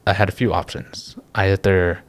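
A young man from the Philippines, a guest talking in a relaxed, reflective way, pausing often and laughing easily. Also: low voice, no echo. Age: young adult. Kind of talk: casual interview chat.

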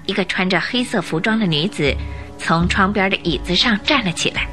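A woman narrating a scripted Chinese radio drama in an expressive storytelling style. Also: mid-range voice, brisk, soft background music.

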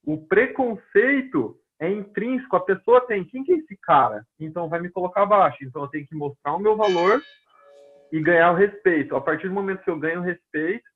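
A man's voice: high-pitched, a little fast, breathy edge. Brazilian man on a tinny phone line. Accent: Brazilian Portuguese. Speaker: personal trainer. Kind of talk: casual storytelling interview.

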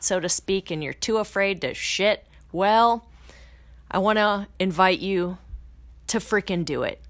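A woman's voice: mid-range voice, fairly bright tone, dry recording. A woman hosting a podcast, talking in a casual, blunt, motivational way that swears freely and rises into emphatic bursts. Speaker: podcast host.

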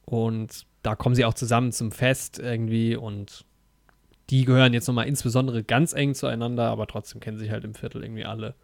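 A man's voice: medium pitch, little pitch movement, brisk, vocal fry, a little mellow, no echo, studio quality. A man chatting casually about a film, enthusiastic and rambling, with many fillers and restarts. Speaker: podcast co-host.

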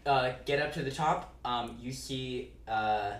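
A woman narrating as game master for friends, halting and full of restarts as she describes the scene. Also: extremely low pitch, echoey room, distant mic.

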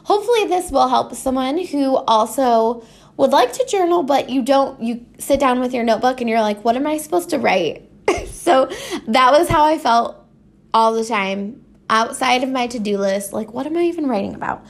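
A woman's voice: high-pitched, expressive, moderate pace, vocal fry. A woman chatting casually and unscripted as a solo host, rambling informally, with a stuffy, slightly scratchy voice congested from allergies. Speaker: podcast host. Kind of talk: casual solo chat about personal habits.

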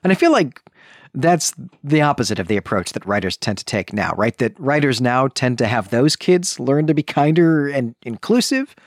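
A man's voice: mid-range voice, expressive, moderate pace, dry recording, studio quality. A middle-aged American man from the Chicago suburbs hosting a book podcast, talking through a review in an enthusiastic, discursive, conversational way. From suburb of Chicago, US Midwest. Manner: enthusiastic, discursive, often checks in with "right?".

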